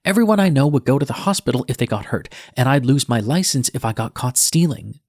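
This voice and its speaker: A man's voice: on the low side, moderate pace, a little mellow, breathy edge, no echo, studio quality. Sardonic young male nurse, closely recorded actor reading. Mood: tense, defiant. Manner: sardonic, edgy, profane. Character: defiant, bitter young male ER nurse telling his story. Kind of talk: first-person audiobook narration.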